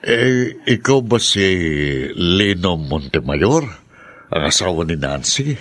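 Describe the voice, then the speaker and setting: A man's voice: sits low, lively intonation, slow, a bit bright, no echo, exaggerated voice. A man with a Filipino accent playing an earnest, hesitant middle-aged uncle in a radio drama.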